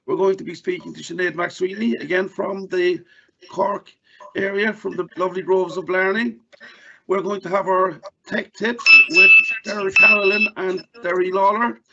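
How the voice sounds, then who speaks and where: An Irish man hosting a podcast in a casual, halting way, often restarting his sentences. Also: high voice, fairly even intonation, vocal fry, background noise. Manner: halting, with many restarts and fillers. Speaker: podcast host. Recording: dull, lo-fi audio.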